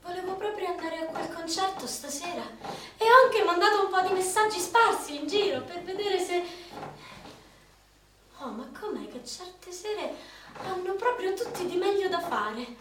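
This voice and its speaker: An actress in a radio play, playing a lonely, sarcastic young woman, a jobless graduate. She drops to a hush when wistful and turns loud and mocking when she rants. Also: extremely high pitch, animated, normal speed, background noise. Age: young adult. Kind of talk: theatrical monologue for radio. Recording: echoey room from far off, music behind.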